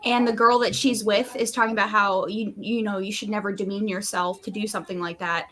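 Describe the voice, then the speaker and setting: A woman's voice: mid-range voice, steady tone, fairly quick, a bit bright. A woman co-hosting a live readalong show, talking casually and informally about books. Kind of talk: casual book chat.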